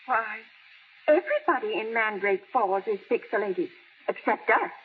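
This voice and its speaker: An actress playing a prim, dotty small-town spinster, sweetly matter-of-fact, in old radio comedy style. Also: female voice, mid-range voice, expressive, normal speed, natural voice. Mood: prim, certain. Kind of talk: 1930s-40s radio comedy-drama acting. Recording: tinny, hissy old radio broadcast.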